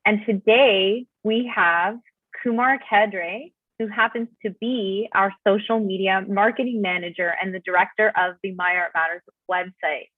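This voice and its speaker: A woman's voice: medium pitch, fairly even intonation, normal speed, no room sound, phone-quality sound. A woman hosting an interview series, enthusiastic and effusive, on video call audio. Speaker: interview host.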